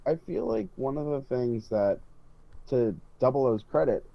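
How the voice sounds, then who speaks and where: A man's voice: medium pitch, sing-song, moderate pace, gravelly, no echo. A man chatting casually as a podcast panelist, rambling and rephrasing as he goes. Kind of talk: casual hobby chat. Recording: dull, close, dry lo-fi voice track.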